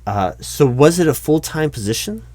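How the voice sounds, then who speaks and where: A man roleplaying a skeptical but polite hiring manager in a one-sided interview, speaking out loud in a halting, stammering way. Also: on the high side, big pitch swings. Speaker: roleplay performer as recruiting manager. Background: computer keyboard typing.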